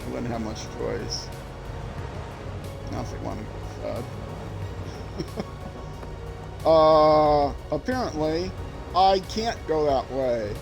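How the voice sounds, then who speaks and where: A man's voice: on the high side, animated, slow, vocal fry, long pauses, over music, poor audio. Rambling Minnesota man streaming a game. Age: older. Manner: rambling, self-deprecating, chuckling to himself. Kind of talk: casual gameplay commentary.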